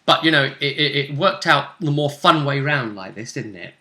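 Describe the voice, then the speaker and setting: A British man with a bright, forceful voice at a middle pitch. He hosts as game master, chatting casually through a tabletop session recap and breaking into animated asides. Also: moderate pace. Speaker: game master, host of a tabletop RPG vidcast.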